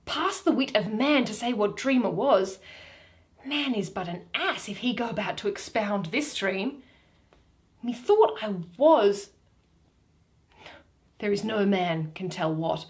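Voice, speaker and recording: A woman's voice: mid-range voice, expressive, slow pace, long pauses, voice pushed down. A woman reading Shakespeare, voicing a bumbling, pompous weaver man who muses theatrically in amazement after waking. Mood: amazed, musing. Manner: theatrical, exclamatory, musing.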